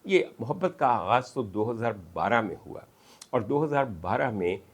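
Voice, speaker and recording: A Pakistani-accented man gives political analysis in a rhetorical, emphatic style. His medium-pitched voice moves widely up and down. He piles up phrases in lists and sets them off with dramatic pauses. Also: sing-song. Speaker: political commentator.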